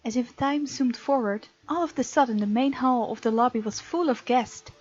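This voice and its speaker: A woman's voice: fairly high pitch, normal speed, darkish tone, highs cut off. A woman hosting a gaming video, expressively reading game text aloud with casual, playful commentary.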